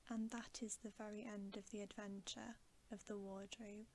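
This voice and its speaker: A woman reading a bedtime story in ASMR style, soft, hushed and often whispery, in a soothing, measured manner. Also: mid-range voice, flat delivery, slight background noise. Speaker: ASMR storyteller, channel host.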